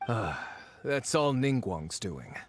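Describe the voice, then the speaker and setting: A man's voice: on the low side, sing-song, takes it slowly, a little hiss or hum. A man playing a wry agent of a shadowy faction in a video game, dry, informative and a little scornful. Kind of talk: video game character acting.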